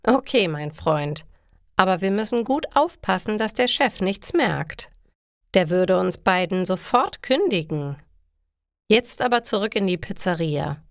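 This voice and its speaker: A woman's voice: medium pitch, expressive, measured pace, no room sound. A bossy, scheming workmate from a storybook, a man as read by a woman, with swooping, emphatic phrases. He talks like someone used to giving orders, and the sound is close and very dry. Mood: chummy, cautioning. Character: scheming, scornful male coworker, bossy but chummy. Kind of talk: learner storybook dialogue.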